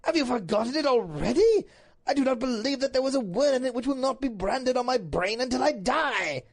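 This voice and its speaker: A woman's voice: mid-range voice, big pitch swings, moderate pace, husky, pitched above the performer's own voice. A woman voicing a bewildered, indignant but doting duke in a storybook reading, with a pompous, emphatic, melodramatic delivery.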